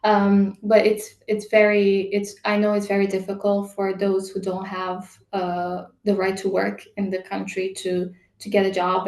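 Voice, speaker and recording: A woman speaking as an HR and diversity leader, slow to find her words and filling pauses often. Her voice is gravelly and mellow, held at a flat, even pitch. The recording sounds roomy and echoey. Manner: hesitant, many fillers. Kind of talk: panel discussion answer.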